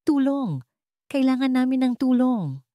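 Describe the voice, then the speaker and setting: A woman's voice: high voice, very animated, measured pace, warm tone, no echo, studio quality, pitched above the performer's own voice. A woman voicing a desperate, anguished young man in an audio drama, pleading dramatically. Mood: frantic.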